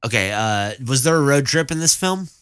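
A man's voice: medium pitch, lively intonation, normal speed, fairly bright tone, clear, resonant tone, no echo, clean studio sound. A young man co-hosting a podcast, joking around and swearing freely in casual chat. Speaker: podcast co-host.

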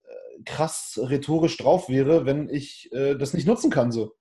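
A man's voice: mid-range voice, a little slow, mellow. A man hosting a casual interview, asking loose, chatty questions. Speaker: podcast host.

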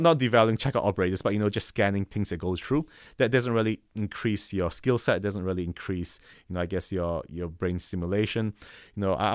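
A man from Malaysia with a slight Malaysian accent, a guest talking earnestly and haltingly in a casual podcast interview. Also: sits low. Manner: earnest, halting, thinks aloud. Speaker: guest, robotics business founder.

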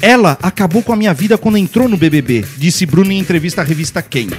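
A man's voice: sits high, fast talker, soft background music. A Brazilian man in his forties with a Brazilian Portuguese accent, hosting a joking podcast chat and reading news aloud. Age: 40s. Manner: joking, animated, leads segments. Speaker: podcast host.

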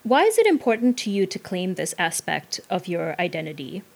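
A woman's voice: fairly low pitch, sing-song, brisk, creaky. A woman hosting an interview podcast, asking prepared questions in a friendly tone. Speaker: podcast host.

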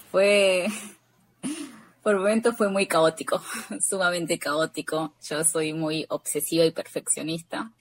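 Lowish, halting Argentine woman in close, dry sound. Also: dry recording. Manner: halting, repeats words while searching. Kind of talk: casual, reflective interview talk.

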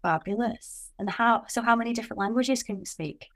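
A woman's voice: on the high side, a little fast. Woman, podcast host, encouraging, affirming, musing, conversational.

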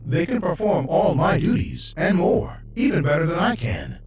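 Echoing, lilting household robot. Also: male voice, sits high, huge pitch swings, moderate pace, a little mellow, a little hiss or hum, full character voice. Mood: earnest. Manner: formal, salesman-like politeness. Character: upbeat, polite all-in-one household drone robot. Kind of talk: children's audio drama acting. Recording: strong echo, distant, music underneath.

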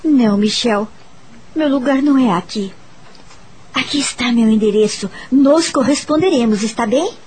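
An actress with a Brazilian accent playing a gentle, grateful young woman in a radio soap opera, earnest and sentimental. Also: a little high, normal speed, a little hiss or hum, lightly acted. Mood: tender. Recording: background music under the voice.

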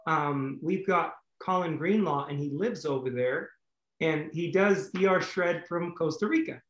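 A man hosts a community video call in an upbeat, excitable way, repeating himself and breaking into loud bursts. His voice sits a little high and sounds roomy and echoey. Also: unhurried. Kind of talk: enthusiastic casual chat.

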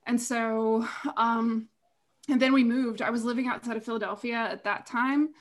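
A woman's voice: on the high side, fairly even intonation, normal speed. A woman from Virginia, a podcast guest telling her story openly in easy conversation. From Virginia, USA. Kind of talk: casual conversation.

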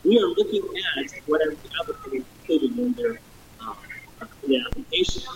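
A man serving as mayor, answering a debate question in a halting way with many fillers. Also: extremely high pitch, big pitch swings, distant mic, noisy background.

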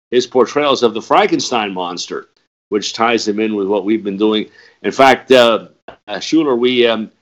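Older male host, hoarse and raspy, halting delivery, muffled lo-fi audio. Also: fairly low pitch, fairly bright tone. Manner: hesitant, many fillers and restarts. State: hoarse from a cold. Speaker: podcast host. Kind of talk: casual interview chat.

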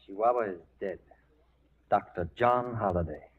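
A man's voice: lowish voice, moderate pace, breathy edge. An actor playing a brooding male frontier doctor and gunfighter in a 1940s radio western drama. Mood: bitter. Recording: thin, phone-like 1940s radio recording.

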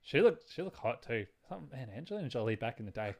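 A man's voice: mid-range voice, very animated, quick pace. A man co-hosting a casual film discussion podcast, rambling and thinking aloud as he talks. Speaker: podcast co-host.

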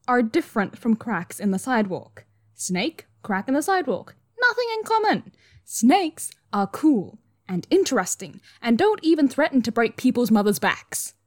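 A woman's voice: on the high side, sing-song, normal speed. A woman playing an earnest, literal-minded young student in an audio drama, speaking in a matter-of-fact way. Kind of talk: audio fiction acting.

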